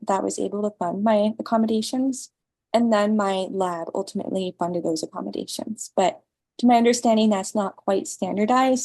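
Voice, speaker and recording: A woman on a panel, a doctoral student, explaining in a measured way. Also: mid-range voice, dark tone, dry recording. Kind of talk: answering a question.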